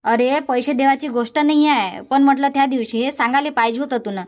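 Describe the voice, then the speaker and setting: Cartoon dub: woman as high-pitched scolding village aunt, Vidarbha accent, muffled lo-fi sound. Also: steady tone, brisk, natural voice. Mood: lecturing. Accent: Vidarbha Marathi. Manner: nagging, lecturing, long run-on scolding.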